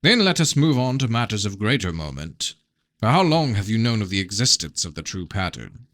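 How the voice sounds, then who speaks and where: Man voicing a measured, scheming fantasy prince, low-voiced. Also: sing-song, a bit bright, no echo, studio quality. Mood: coolly unrepentant. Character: evasive, calculating prince, scheming and unrepentant. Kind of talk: dramatised novel reading.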